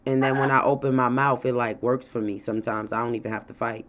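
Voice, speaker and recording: A woman with a deep voice, co-hosting a casual talk show and telling stories with frequent laughter. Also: fairly even intonation, fast talker. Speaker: co-host. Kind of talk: casual chat. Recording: dull lo-fi sound.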